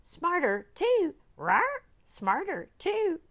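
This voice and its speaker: A shrill pirate parrot that squawks and repeats itself in a sing-song. The voice leaps far above her own and stays loud and piercing. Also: wildly swooping pitch, drawn-out words, heavily acted. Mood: squawking. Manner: squawks and chants phrases.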